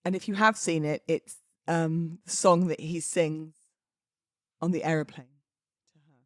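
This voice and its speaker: A woman's voice: low-pitched, steady tone, a little slow, no room sound, studio quality. A British woman in her thirties chatting casually and expressively as a solo podcast host, in an informal, slangy way. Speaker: podcast host and wedding celebrant.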